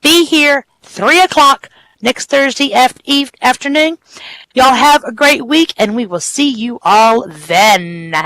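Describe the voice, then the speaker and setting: A commentator doing lively play-by-play for a wrestling show who counts pins aloud and signs off the broadcast. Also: high-pitched, slow pace, rough recording.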